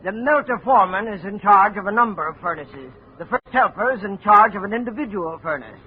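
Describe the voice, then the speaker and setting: A worried, earnest steel plant supervisor in a 1950s radio play. His voice sits high for a man. The sound is dull and lo-fi, with a faint hiss. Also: lively intonation, fairly quick. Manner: earnest, explanatory.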